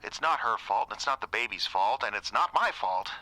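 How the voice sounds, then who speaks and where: An unstable ex-boyfriend in an audio drama, with a bright, sharp-edged voice that swings widely in pitch. He is self-pitying and pleading, quick to turn accusing. The sound is close and dry. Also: lively intonation, no echo, poor audio. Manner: emotional, swinging from wheedling to accusing.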